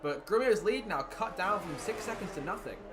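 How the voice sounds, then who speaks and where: A man commentating a sim racing league, calling the action excitedly while his voice is wearing thin. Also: sits high, a little fast, noisy background. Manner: excited play-by-play, rising with the action. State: losing his voice. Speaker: lead commentator.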